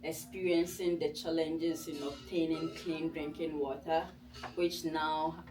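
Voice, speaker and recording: A woman's voice: low-pitched, level tone, a little slow, music in the background. A woman with a Ghanaian accent and Nzema as her native language gives an informal personal talk as a guest author, in a conversational storytelling way. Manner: conversational storytelling, voices her own past thoughts. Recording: distant mic in a room.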